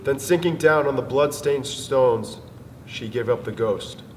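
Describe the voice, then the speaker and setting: Male narrator, medium register, solemn measured dramatic reading, long reverb, string music underneath. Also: medium pitch.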